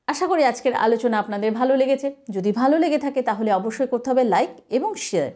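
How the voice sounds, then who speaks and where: A woman's voice: on the high side, expressive, fast, darkish tone. A woman, explaining as a singing teacher in an instructive, emphatic way. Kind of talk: explanatory video lesson.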